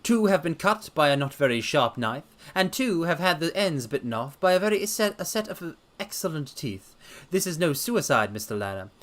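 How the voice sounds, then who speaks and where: A man voicing a confident, methodical detective in a dramatic reading, with a crisp, politely assured way of talking. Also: on the high side, big pitch swings, moderate pace, fairly bright tone, pitched above the performer's own voice.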